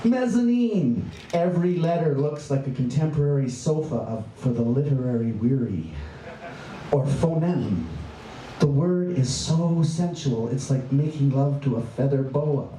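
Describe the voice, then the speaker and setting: A man performing his spoken word poetry live, with a playful, wry and bawdy delivery, jumping in pitch and stretching out words. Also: animated, unhurried, warm tone, a little hiss or hum. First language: English. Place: performance venue. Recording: echoey room, voice from far off, faint ambience.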